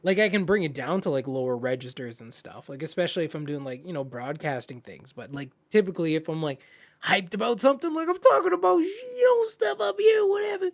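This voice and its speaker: Man, fairly high voice, podcast co-host, slangy, casual, laughs easily. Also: lively intonation, brisk, creaky. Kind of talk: casual chat.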